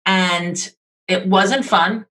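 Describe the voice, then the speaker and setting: A woman's voice: medium pitch, steady tone, extremely slow, bright, forceful tone. A middle-aged woman from Queens with a New York accent, chatting as an interview guest and telling stories in a friendly way. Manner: friendly, storytelling with acted quotes. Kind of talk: casual interview chat.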